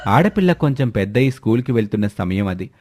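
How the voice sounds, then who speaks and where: A man narrating a children's moral story in a steady, plain way. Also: lowish voice, fast talker.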